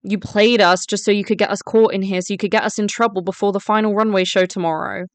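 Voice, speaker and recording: Woman acting wary student girl, defiant, smooth clear voice, close dry recording, web story drama. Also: mid-range voice, fairly even intonation, quick pace, no room sound, natural voice. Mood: defensive, accusing. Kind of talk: first-person story narration and voice-acted dialogue.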